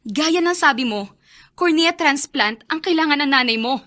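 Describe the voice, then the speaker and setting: High-pitched, distressed young Filipina sweetheart. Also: female voice, expressive, moderate pace, bright, forceful tone. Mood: reproachful. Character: distressed, reproachful young woman pleading with her boyfriend. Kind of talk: Filipino radio drama acting.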